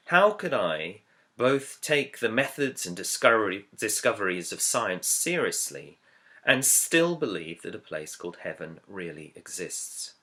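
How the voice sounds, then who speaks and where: A man, an author, reading his own book introduction as scripted narration in a measured, earnest, reflective way. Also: fairly low pitch, sharp tone. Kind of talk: scripted audiobook-style narration.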